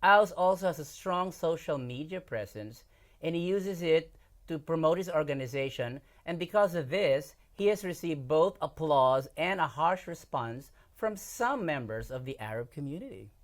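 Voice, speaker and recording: A man's voice: fairly high pitch, expressive, normal speed. A man co-hosting a podcast, reading a prepared introduction earnestly. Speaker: podcast co-host. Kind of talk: scripted show introduction.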